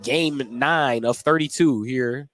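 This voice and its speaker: A man's voice: mid-range voice, slow, fairly bright tone, no room sound. A man calling live basketball play-by-play on the radio from a gym, given to chuckling between calls. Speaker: play-by-play announcer. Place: indoor basketball gym, courtside. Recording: noisy gym broadcast. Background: game noise, bouncing basketball.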